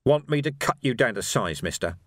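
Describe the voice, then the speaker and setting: A man voicing a menacing, sardonic police sergeant in an audiobook, deliberate and mock-polite. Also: medium pitch, lively intonation, no room sound, clean studio sound, lightly acted. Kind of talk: audiobook character voice.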